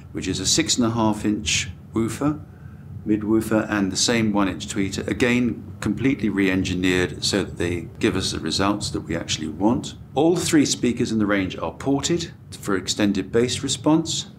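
A British man in his sixties speaks in a deep voice. He explains products in a measured, informative way, like a director showing his range. Faint background noise sits behind him. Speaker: company director presenting products. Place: hotel room at an audio show.

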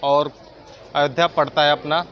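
A man's voice: a little high, level tone, moderate pace, dull audio. A man in his thirties narrating a train travel vlog, listing station facts in an upbeat guide-like way, filmed on a phone at arm's length outdoors. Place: outdoors on railway platforms and at a moving train door. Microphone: phone camera at arm's length.

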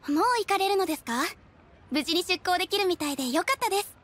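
A woman voicing a polite, gentle young receptionist in an anime-style game, speaking formally and courteously. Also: extremely high pitch, fast, slight background noise. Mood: gently encouraging. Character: polite, gentle young female guild receptionist in maid uniform. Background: background music.

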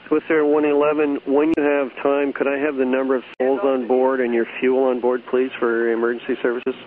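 A man's voice: mid-range voice, flat delivery, fairly quick. A man working as an air traffic controller, giving matter-of-fact procedural instructions over the radio. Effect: radio transmission. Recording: tinny archived radio with light static.

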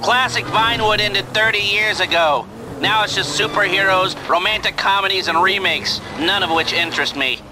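A man's voice: high-pitched, normal speed, background noise, lightly acted. An actor playing a cynical, nerdy male schemer with a dismissive, sardonic manner in a video game.

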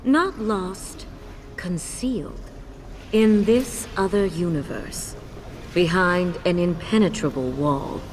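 A woman's voice: on the low side, slow pace, darkish tone, slight background noise. A woman playing a cold, commanding, regal spirit of death in a video game cutscene, speaking in a solemn, imperious way. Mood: weary, commanding. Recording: long cavernous reverb, music behind.

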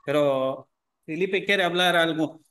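Older man, gentle and unhurried. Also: a little high, in a large hall. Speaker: host. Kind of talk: speaking on a video call. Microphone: video call.

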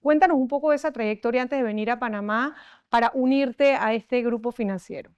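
A woman in her forties hosting a studio TV interview, asking polished and lively questions on a lapel mic. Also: a little high, fairly quick, clean tone, no room sound, highs cut off. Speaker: TV interview host. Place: TV studio set.

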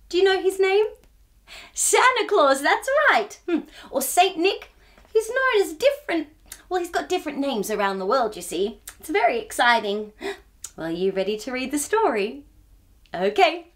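A woman in her thirties hosting storytime for young children, talking in a playful, exaggerated sing-song way. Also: high voice. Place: small furnished room, indoors.

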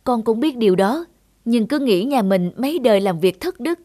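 A woman's voice: fairly high pitch, expressive, normal speed, mellow, no echo, clean studio sound. A woman voicing an earnest, resolute young village man in a cartoon. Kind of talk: cartoon dubbing.

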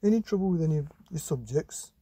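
A man as an inquisitive, husky storybook dad. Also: a little high, animated, fast, mellow, dry recording. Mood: probing. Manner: probing, chatty questions. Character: well-meaning, inquisitive father of a young boy.

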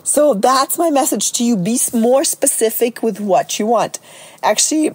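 A middle-aged woman with a slightly raspy voice, talking casually and chattily as a solo podcast host and coach. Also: on the high side, lively intonation, normal speed.

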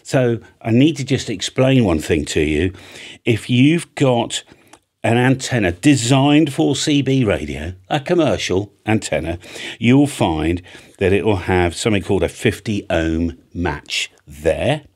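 A British man in his fifties with a husky voice gives an informal, chatty tutorial, often checking in with the listener, close on a studio microphone. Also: lowish voice, animated, a little slow, darkish tone. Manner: chatty, plain-spoken, checks in with "right?" and "okay?". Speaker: amateur radio operator and antenna maker presenting a tutorial. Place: small indoor home studio. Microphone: large studio microphone on a boom arm, close.

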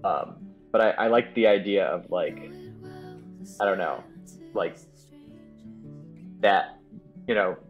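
A man's voice: low voice, slow pace, long pauses, phone-quality sound. A man chatting casually and enthusiastically as a guest game developer on an online call, in a rambling way. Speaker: game writer and director, guest. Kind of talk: casual chat in a Q&A. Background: guitar music playing softly.

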